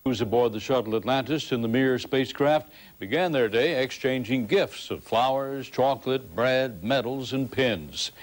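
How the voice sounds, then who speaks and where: A man anchoring a news broadcast, narrating reports and interviewing a guest in a measured, polished delivery. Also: mid-range voice.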